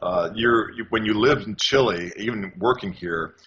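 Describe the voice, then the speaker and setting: An older man from California, a podcast guest, talking casually and haltingly with many restarts. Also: on the low side, a bit bright. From California, USA. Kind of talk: casual interview answers. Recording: dull lo-fi call audio with faint noise.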